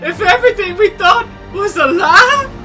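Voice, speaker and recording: A woman voicing an anxious, emotional, remorseful young sea princess in an animated comic dub. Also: very high-pitched, moderate pace, faint music behind, exaggerated voice.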